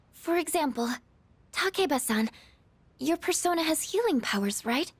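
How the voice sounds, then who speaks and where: Very high-voiced, earnest teenage girl. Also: dry recording. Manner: polite, gentle, careful. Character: shy, earnest, kind teenage schoolgirl. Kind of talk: anime-style video game voice acting.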